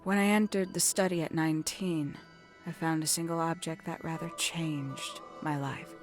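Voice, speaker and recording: Over background music comes a low-pitched woman's voice performed by a game actress, secretive and brooding. She unspools her tale deliberately, with theatrical pauses, like a mystery game character guarding a family secret. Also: steady tone, close to an everyday voice. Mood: solemn, wistful. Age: adult, older than nineteen. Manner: measured, deliberate, theatrical storytelling with dramatic pauses. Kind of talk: video game voice acting, dramatic monologue. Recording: background music under the dialogue.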